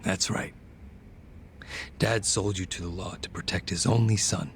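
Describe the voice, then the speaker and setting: A man playing a cold, smug gangster with a gravelly voice and a measured, condescending manner, in a dubbed video game cutscene. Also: low-pitched, fairly even intonation, a little hiss or hum. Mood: smug, calm. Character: cold, smug male gangster calmly confessing and needling.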